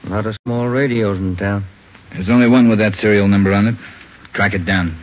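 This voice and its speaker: Workaday American policeman, played by a man, lowish voice, laconic delivery, dull vintage radio sound. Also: fairly even intonation, brisk, very noisy, lightly acted. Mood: businesslike. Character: plain-spoken, workaday male police officer. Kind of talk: 1950s radio crime drama acting. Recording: dull old-time radio recording.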